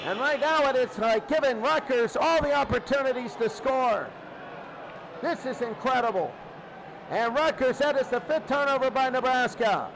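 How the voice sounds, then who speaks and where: High-pitched male football announcer over loud noise. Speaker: play-by-play sports announcer. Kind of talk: American football radio commentary. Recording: loud steady noise behind the voice.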